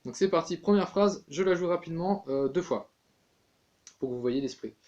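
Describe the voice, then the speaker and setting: A man's voice: on the high side, lively intonation, normal speed, muffled recording, noisy background. Chatty man teaching tin whistle. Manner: chatty, many fillers and restarts. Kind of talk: casual music tutorial.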